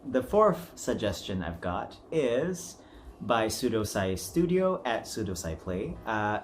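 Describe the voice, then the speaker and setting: Male challenge host, casual and lively, self-deprecating humour, faint noise in the background. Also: lowish voice, slow pace. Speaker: amateur voice acting challenge host. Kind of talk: casual, chatty vlog.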